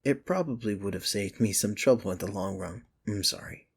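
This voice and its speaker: A man playing a teasing, flustered office rival smitten with the listener, in an intimate ASMR romance roleplay with a husky, gravelly voice. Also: on the low side, fairly quick. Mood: earnest, vulnerable.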